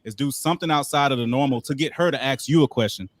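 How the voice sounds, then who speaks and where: A man's voice: mid-range voice, fast talker, no echo. A young man hosting a live show, giving blunt, profane and joking critiques. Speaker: show host.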